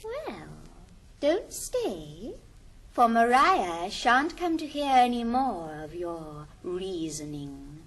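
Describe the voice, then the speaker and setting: An actress playing a teasing, coquettish young wife in a period stage comedy, speaking archly. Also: female voice, mid-range voice, very animated, slow pace.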